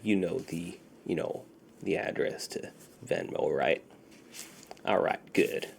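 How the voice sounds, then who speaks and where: Low, gravelly man doing soft ASMR. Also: slight background noise. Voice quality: breathy, often whispered, gravelly. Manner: unhurried, reassuring, playing a friendly dealer. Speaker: ASMR performer. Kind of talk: soft-spoken ASMR roleplay.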